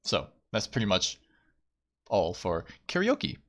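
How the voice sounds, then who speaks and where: A man's voice: fairly low pitch, wildly swooping pitch, slow pace. A young man hosting a livestream, chatting casually in a self-deprecating way and often repeating his phrases. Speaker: VTuber livestream host. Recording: background music. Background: music.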